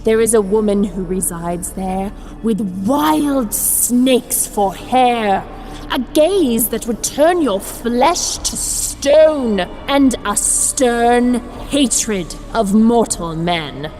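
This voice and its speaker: Woman acting a very slow, cryptic oracle. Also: fairly high pitch, lively intonation, a little mellow. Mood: cryptic, grave. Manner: formal, measured, portentous. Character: serene, cryptic, all-knowing female oracle. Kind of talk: fantasy audio drama acting. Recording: long reverb, music behind.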